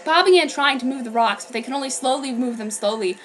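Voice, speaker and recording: A woman's voice: high voice, fast, a bit bright, a little hiss or hum. A woman reading fan fiction aloud in an earnest, slightly hesitant way with occasional slips. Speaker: reader. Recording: background soundtrack music.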